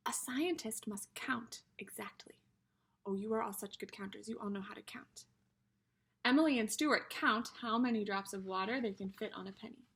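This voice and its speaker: A woman's voice: medium pitch, moderate pace, creaky, a bit bright, breathy edge. A woman teacher reading a picture book aloud to young children, explaining things simply and speaking to them directly as friends.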